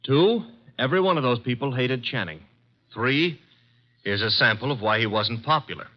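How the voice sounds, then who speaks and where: Male actor, wisecracking assistant, animated, punchy, sardonic, mid-pitched, 1950s radio drama, dull vintage recording. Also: normal speed, natural voice. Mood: brisk reporting.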